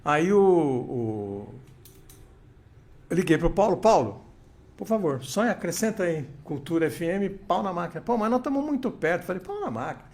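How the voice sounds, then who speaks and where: A man's voice: sits high, big pitch swings, moderate pace, gravelly, a little mellow. An older man, an interview guest telling radio anecdotes with many restarts and quoted dialogue. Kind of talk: casual storytelling interview.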